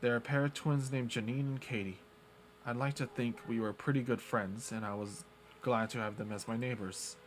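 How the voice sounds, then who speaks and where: A man streaming, reading a visual novel aloud in a steady way with small slips. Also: mid-range voice, normal speed, slight background noise, poor audio.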